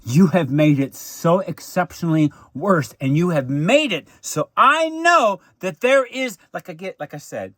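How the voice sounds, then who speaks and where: Casting: American male for an off-the-cuff personal monologue, fairly high voice, stammered restarts, emphatic repetition, very dry recording. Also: animated, unhurried, few pauses, a bit bright, no echo. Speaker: vlogger recounting personal grievances.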